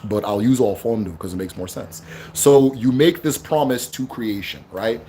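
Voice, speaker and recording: Man, loud emphatic voice, casual livestream teaching, faint background noise. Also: medium pitch, moderate pace. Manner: loud, emphatic, keeps asking "right?". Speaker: livestream host teaching spirituality.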